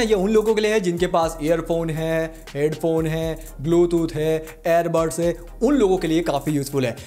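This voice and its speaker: A man's voice: a little high, a little mellow. A man hosting a smartphone tips tutorial in an informal, chatty way, talking to viewers like buddies. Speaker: tech tutorial host.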